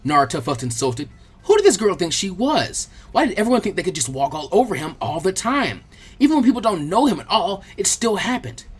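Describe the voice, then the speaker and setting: Story narrator reading fan fiction, lots of up and down in the pitch. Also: very animated, a little fast, slightly rough. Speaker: audiobook narrator. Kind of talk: expressive scripted story narration.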